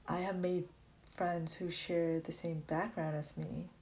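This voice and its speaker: Young American woman, slightly low voice. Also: little pitch movement, measured pace, creaky, darkish tone. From grew up in Massachusetts, US, born in Vietnam. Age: young adult. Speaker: college student sharing a personal story. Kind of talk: reflective personal narrative.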